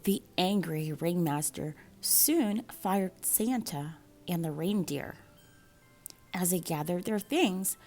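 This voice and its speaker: Woman librarian reading a kids book out loud, slow n animated, bit of a husky voice, music behind. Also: fairly low pitch. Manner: measured, animated storytelling with dramatic pauses. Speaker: school librarian reading a picture book aloud. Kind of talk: expressive children's storybook read-aloud.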